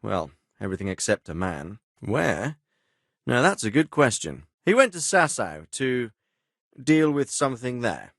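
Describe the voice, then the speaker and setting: Measured, commanding medieval lord, dry studio sound. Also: male voice, mid-range voice, big pitch swings, vocal fry, fairly bright tone, dry recording, exaggerated voice. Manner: authoritative, measured, formal, wryly sardonic. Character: stern, dry-witted medieval lord commanding his men. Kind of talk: video game dialogue acting.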